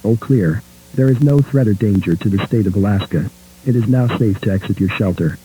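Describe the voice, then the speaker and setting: A man's voice: fairly low pitch, a little fast, mellow, slightly rough, low-quality recording. A man reading a scripted broadcast announcement as a formal, impersonal alert announcer.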